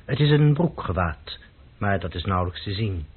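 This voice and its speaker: An actor playing a dignified, serious lawyer of about thirty in a radio play, speaking in a measured, courteous, explaining way. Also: male voice, low-pitched, lively intonation, slightly rough. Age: 30s. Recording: dull, muffled old radio recording.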